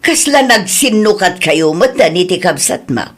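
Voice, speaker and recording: A woman's voice: lowish voice, sing-song, normal speed. A woman with an Ilocano accent playing an older rural wife and aunt who is concerned, in a conversational audio drama.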